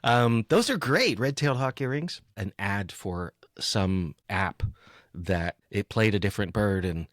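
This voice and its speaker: A man with a fairly low voice hosts a podcast, recorded dry and close to the mic. He talks casually and rambles a little, with a jokey, self-deprecating manner. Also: expressive, measured pace, no room sound. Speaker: podcast host. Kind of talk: casual, chatty interview talk.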